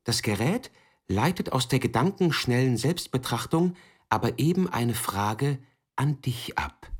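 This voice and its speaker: A man giving a scripted literary narration in a measured, formal way, with a husky voice. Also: mid-range voice, expressive. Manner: measured, formal second-person storytelling. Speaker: author narrator.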